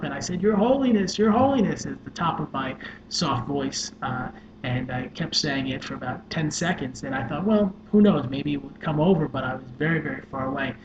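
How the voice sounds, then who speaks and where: A soft-spoken man, a social worker and life coach, earnestly telling a personal story with many fillers. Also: medium pitch. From New York. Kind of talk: personal story told to camera. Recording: echoey room with dull sound and noise.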